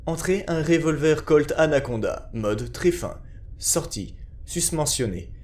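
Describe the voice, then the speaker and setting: A man's voice: a little high, animated, measured pace, a little mellow. A man giving a scripted narration in a formal, clinical report style.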